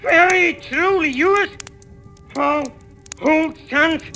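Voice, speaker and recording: A man's voice: very high-pitched, very slow, bright, forceful tone, exaggerated voice. An actor playing a furtive professor with a guilty secret in 1940s radio suspense style, hesitant and stammering in his speech. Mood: mounting dread. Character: furtive professor, nervously jolly, haunted wife-killer. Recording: vintage radio recording, music underneath.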